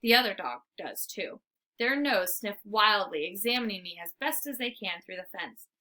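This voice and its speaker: A woman reading a children's book aloud as an expressive storyteller. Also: medium pitch, normal speed.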